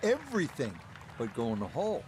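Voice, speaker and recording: A man's voice: mid-range voice, huge pitch swings, a little fast, crowd noise, noisy background. A man playing a golf broadcast announcer in a video game, giving short conversational play-by-play calls. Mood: measured commentary. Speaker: golf commentator. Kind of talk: televised sports play-by-play commentary.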